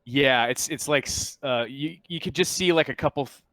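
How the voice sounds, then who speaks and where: Male game master, smooth clear tone, close dry sound, halting improvised narration of a horror scenario. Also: mid-range voice, sharp tone, no room sound. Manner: halting, searching for words while describing scenes.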